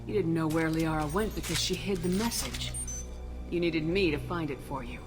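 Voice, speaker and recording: An actress playing a focused, determined military commander, the heroine of a video game. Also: female voice, deep voice, normal speed, over music, close to an everyday voice. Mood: focused, matter-of-fact.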